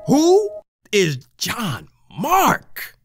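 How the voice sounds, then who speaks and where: An actress playing a sassy, excitable woman commentator in an audio drama, slangy and theatrical with emphatic repetition. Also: on the high side, extremely slow, over loud music, exaggerated voice. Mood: baffled, theatrical. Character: sassy, excitable female town commentator addressing her listeners.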